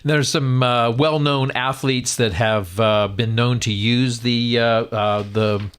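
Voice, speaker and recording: A man's voice: mid-range voice, fairly even intonation, slow pace, fairly bright tone, clear, resonant tone. A man moderating a radio talk show in a conversational, somewhat hesitant way.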